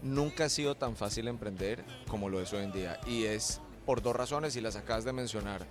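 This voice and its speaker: A man's voice: on the low side, fairly quick, soft background music. A middle-aged man from Colombia with a Colombian accent, a guest in a radio interview, explaining his ideas with sayings and metaphors. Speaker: entrepreneur guest.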